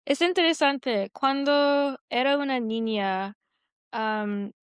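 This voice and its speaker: A woman whose first language is Hindi speaks learner Spanish slowly and haltingly. Her voice is clear and smooth, recorded dry and close. She fills pauses with "am" and slips English words in between. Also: sits high, dry recording. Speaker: podcast guest. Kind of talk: casual conversation in learner Spanish.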